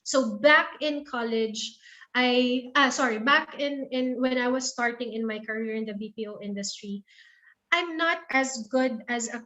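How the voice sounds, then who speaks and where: A woman's voice: on the high side, unhurried, pushed and bright. Earnest Filipino woman, lo-fi call audio. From Philippines. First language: not English. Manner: earnest, repeats and restarts words. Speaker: guest speaker. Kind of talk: career talk to students.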